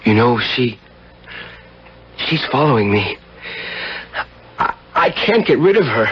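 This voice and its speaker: A man's voice: mid-range voice, sing-song, takes it slowly, husky, full character voice. An actor playing a desperate, guilt-ridden man who narrates and acts in a 1970s radio drama. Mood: nervous, pleading. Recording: dull, very noisy old radio recording.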